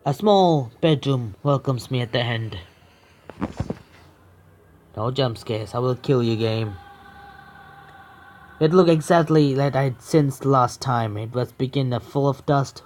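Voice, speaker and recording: A man's voice: medium pitch, slow pace, long pauses, a little hiss or hum. A young man streaming a horror visual novel, reading the text aloud with stumbles and breaking off into swearing, joking asides. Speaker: game streamer.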